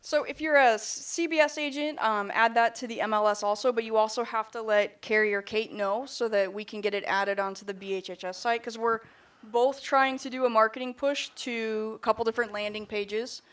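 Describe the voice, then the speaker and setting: Clear, fairly high-voiced woman presenting. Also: steady tone, a little fast, a bit bright. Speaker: staff presenter. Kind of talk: informal announcement at a meeting.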